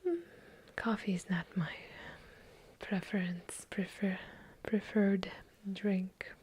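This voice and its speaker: Brief: woman, Eastern European accent, ASMR whisperer; low mellow whisper, unhurried delivery. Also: fairly even intonation, slightly rough. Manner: soft unvoiced whispering, unhurried, chatty with small self-corrections. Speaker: ASMR creator answering viewer questions.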